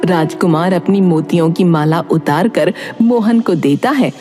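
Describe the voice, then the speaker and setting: A woman narrating a scripted animated moral tale as a storyteller. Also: fairly low pitch, unhurried. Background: music.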